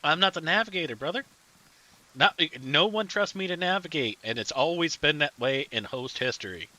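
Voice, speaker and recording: A man's voice: fairly high pitch, expressive, normal speed, bright, forceful tone, poor audio. A man streaming a survival game, chatting casually and rambling with his teammates. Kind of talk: casual gaming chat with teammates.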